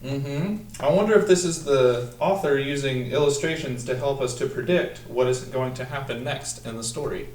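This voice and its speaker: A young man doing an instructional picture-book read-aloud in a deliberate manner, drawing out the text and putting questions to young listeners. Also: mid-range voice, darkish tone, a little hiss or hum. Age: young adult. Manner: deliberate, instructional, drawn-out when reading the text. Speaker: student teacher practicing a read-aloud. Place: small room at a table, indoors. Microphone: camera mic a few feet away. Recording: roomy echo, distant microphone.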